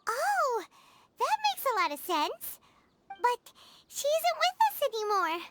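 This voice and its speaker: A woman voicing a tiny, excitable, childlike fairy companion with a squeaky voice in an anime game. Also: slow pace, heavy background noise, full character voice. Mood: thoughtful. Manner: exclaiming, refers to herself in third person.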